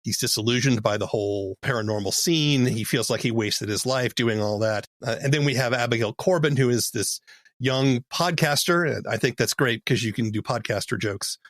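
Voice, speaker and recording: A man's voice: mid-range voice, normal speed, fairly bright tone, dry recording. A man hosting a conversational interview, explaining and commenting as he goes.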